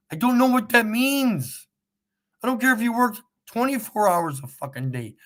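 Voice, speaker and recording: A man's voice: high voice, a bit bright. A man with a Canadian accent, live streaming as he makes a prank phone call and then rants in a mocking, sarcastic way.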